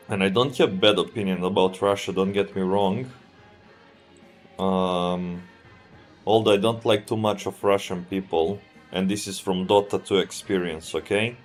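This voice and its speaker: A man with a Serbian accent, a streamer thinking aloud as he plays a strategy game in a relaxed, halting way and answering chat. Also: low-pitched, soft background music. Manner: relaxed, thinking aloud, often trails off and restarts. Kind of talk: live gameplay commentary.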